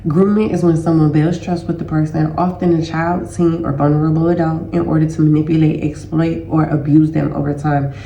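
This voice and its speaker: Stuffy-voiced woman with a gravelly edge. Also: low voice, little pitch movement, fairly quick, few pauses, mellow, lo-fi sound, a little hiss or hum. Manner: conversational, loose, addresses listeners as y'all. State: stuffy nose and sore throat. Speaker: healing educator and host. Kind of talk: casual educational talk to viewers. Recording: roomy echo.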